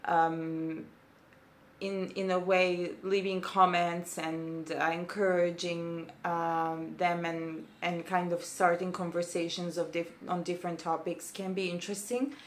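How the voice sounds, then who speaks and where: Young woman, Serbian accent, slow hesitant vlog talk, lowish voice. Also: flat delivery, slight background noise. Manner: hesitant, many fillers. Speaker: booktuber.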